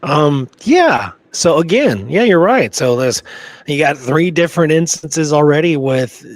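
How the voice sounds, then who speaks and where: A man's voice: fairly high pitch, big pitch swings, unhurried, no echo. A man co-hosting a podcast, commenting in a colloquial, rambling way. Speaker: podcast co-host. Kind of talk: casual chat, reacting and commenting.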